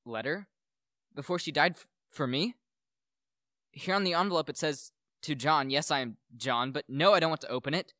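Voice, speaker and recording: A man's voice: a little high, moderate pace, pauses often, bright, forceful tone, no echo, clean studio sound. A young man playing a bitter, gloating widower who poisoned his wife, in a theatrical, brooding radio play monologue. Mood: shaken, wary. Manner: melodramatic, brooding, declamatory.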